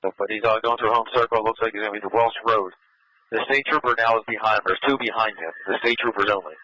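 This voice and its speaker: A man's voice: fairly low pitch, quick pace, pushed and bright, dry recording. A man calling in terse location updates over police radio, with hesitations as he goes. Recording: muffled scanner radio audio.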